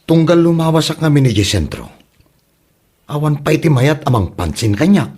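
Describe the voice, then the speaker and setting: A man's voice: on the high side, sing-song, moderate pace, a little mellow, slightly rough. A man with an Ilocano accent playing a worried, irritable election candidate in a comedy drama. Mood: anxious.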